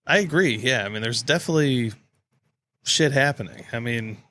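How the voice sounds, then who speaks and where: A man's voice: mid-range voice, animated, moderate pace, fairly bright tone. A North American man co-hosting a casual chat show, talking in a laid-back way with wry interjections. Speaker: podcast co-host.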